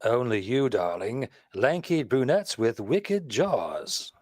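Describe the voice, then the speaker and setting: An actor playing a suave, wisecracking married detective, breezy and teasing, in a 1930s radio style. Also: male voice, mid-range voice, big pitch swings, takes it slowly, a little mellow, dry recording. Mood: wry, teasing.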